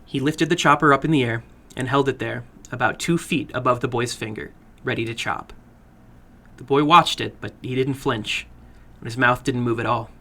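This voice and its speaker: A man narrating a horror story dramatically, in a deliberate, suspenseful manner. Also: mid-range voice, vocal fry, sharp tone. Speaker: horror fiction reader and host.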